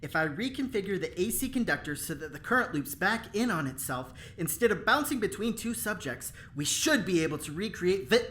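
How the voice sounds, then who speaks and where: An actor playing an eager, insecure, chatty engineer in an audio drama, self-conscious and halting in delivery. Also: mid-range voice, very animated, fairly bright tone. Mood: earnest, self-conscious.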